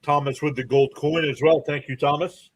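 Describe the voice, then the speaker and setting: A man's voice: on the high side, steady tone, moderate pace. A man hosting a live baseball stream, mixing clipped play-by-play calls with informal fan asides and chat to viewers. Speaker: livestream play-by-play host and fan.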